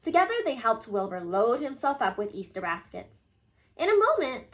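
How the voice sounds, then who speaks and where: A woman storyteller reads a children's book aloud. Her voice sits a bit high and moves up and down a great deal, giving the narration an expressive shape.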